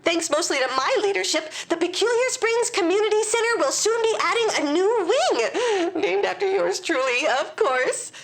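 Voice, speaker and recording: A woman's voice: extremely high pitch, animated, normal speed, few pauses. A woman playing a pompous, rambling, self-promoting small-town mayor in a comedic audio drama. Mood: self-important.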